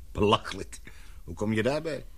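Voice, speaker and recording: An actor playing a kindly older man in a Dutch radio drama, speaking in a paternal, measured, husky voice. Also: lowish voice, expressive. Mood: concerned, questioning. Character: kindly, fatherly older man comforting a young woman.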